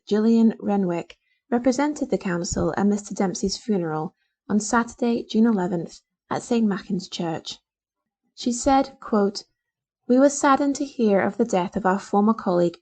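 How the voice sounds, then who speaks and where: A woman reading local newspaper articles aloud as a volunteer, in a deliberate, formal way. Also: mid-range voice, a little mellow. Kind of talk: scripted news reading for a talking newspaper.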